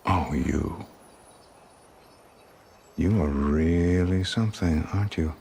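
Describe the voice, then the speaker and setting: A man's voice: sits low, slow pace, vocal fry, a little mellow, slight background noise, full character voice. An actor playing a smug, pompous older male villain in a dramatic video game scene. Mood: flattering. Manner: pompous, theatrical, condescending.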